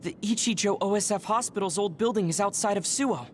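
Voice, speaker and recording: A man playing a composed, knowledgeable squad member who explains things in a measured way in a video game. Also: sits high, a little hiss or hum, close to an everyday voice. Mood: calm, informative. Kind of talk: video game cutscene voice acting.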